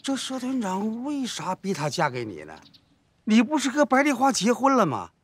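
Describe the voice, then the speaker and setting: An incredulous elder villager in a TV drama. His high voice leaps up and down as he questions and scolds, recorded dry and close. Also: wildly swooping pitch, moderate pace, slightly rough, no room sound, exaggerated voice. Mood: scolding. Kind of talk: TV drama acting.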